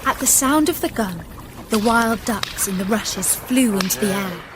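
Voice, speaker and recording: A woman narrating a scripted children's story in a measured, expressive manner. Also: medium pitch, background noise.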